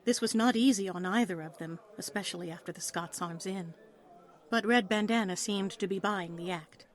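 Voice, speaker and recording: A woman narrates an audio play. Her voice sits at a middle pitch, and she reads the scripted prose as dramatic storytelling. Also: normal speed.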